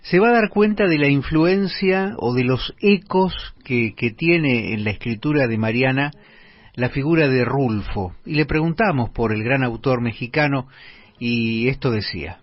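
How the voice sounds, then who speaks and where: A man's voice: mid-range voice, unhurried. A man hosting a radio interview, introducing the guest and asking questions in a formal way. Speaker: radio host. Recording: dull lo-fi radio audio.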